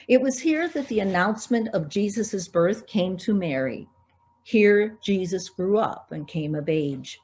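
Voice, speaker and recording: A woman's voice: mid-range voice, animated, unhurried, darkish tone. A woman pastor delivering a prepared, scripted sermon.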